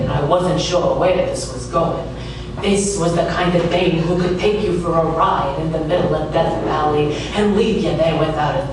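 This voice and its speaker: A man playing a wary, wisecracking private detective in a hardboiled radio comedy, delivered with deadpan wryness. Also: fairly high pitch, lightly acted. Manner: deadpan, wry. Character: wisecracking, wary male private detective narrating his case. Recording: echoey room, distant mic, clear noise.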